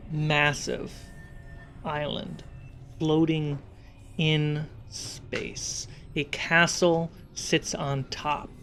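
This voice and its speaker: A game master narrating a tabletop fantasy game in short, suspenseful, dramatic phrases. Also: medium pitch. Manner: slow, suspenseful scene-setting with short phrases.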